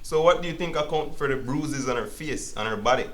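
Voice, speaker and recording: A man's voice: medium pitch, fairly quick, natural voice. A man playing a stern, formal family court judge in a dramatized hearing. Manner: formal, authoritative.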